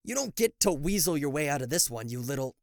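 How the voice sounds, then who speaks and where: Guy voicing a sarcastic king of hell, dramatic and huffy, really animated, dry close sound, asmr roleplay. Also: fairly high pitch, fast, no echo. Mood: offended, pompous. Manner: theatrical, sarcastic, indignant, stammering when flustered. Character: cocky, easily flustered male devil, king of hell. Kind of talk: ASMR roleplay acting addressed to the listener.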